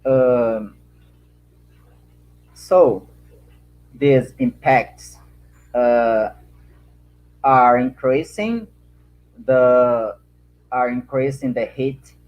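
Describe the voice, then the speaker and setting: A man with a Brazilian Portuguese accent speaks slowly and haltingly in English, his second language, filling pauses and repeating words as he looks for the right phrasing. His voice sits at a middle pitch and comes through a slightly dull, muffled video-call line. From Acre, Brazil. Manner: halting, many fillers and repeated words, searching for words. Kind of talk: panel answer in non-native English.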